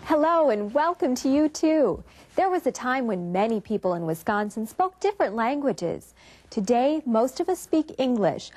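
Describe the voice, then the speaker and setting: American woman narrating an educational show, higher-pitched. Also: a little mellow, no room sound. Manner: clear, instructive, addressing young viewers directly.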